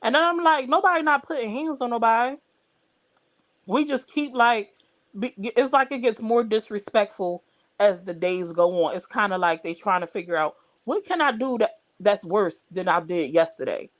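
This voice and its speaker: A woman's voice: on the high side, moderate pace, no echo. A woman hosting a casual livestream, talking bluntly and straight to her viewers. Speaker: livestream host. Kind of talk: casual live chat.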